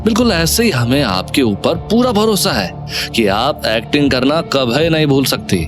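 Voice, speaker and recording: Male lead, acted: humble, earnest, polite, mid-pitched, audio drama over music. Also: very animated, moderate pace, lightly acted. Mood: encouraging. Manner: polite, refers to himself as "hum". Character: humble, encouraging male company man, respectful and earnest.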